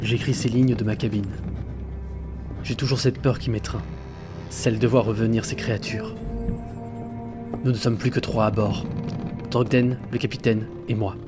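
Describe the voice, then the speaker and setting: A man playing a frightened sailor who narrates his journal gravely, in dramatic audio fiction. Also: lowish voice, fairly even intonation, normal speed, long pauses, close to an everyday voice. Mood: haunted, weary. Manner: grave, haunted storytelling. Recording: loud music under the voice.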